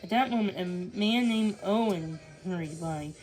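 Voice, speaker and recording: A woman reads a children's storybook aloud, halting now and then and restarting words. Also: lowish voice, darkish tone, faint music behind, poor audio. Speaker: storybook reader. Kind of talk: read-along storytelling of a children's book.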